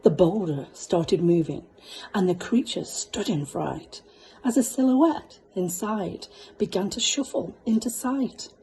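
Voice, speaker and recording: A woman's voice: mid-range voice, slow, mellow, a little hiss or hum, low-quality recording. A woman reading a children's story aloud in a dramatic style. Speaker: school staff member, storyteller.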